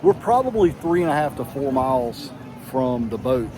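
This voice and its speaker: A man's voice: mid-range voice, very animated, normal speed, background noise. A man narrating a casual travel vlog outdoors in a laid-back, folksy manner. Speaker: travel vlogger. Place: outdoors on walkways, boat and island. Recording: outdoors with music and crowd behind.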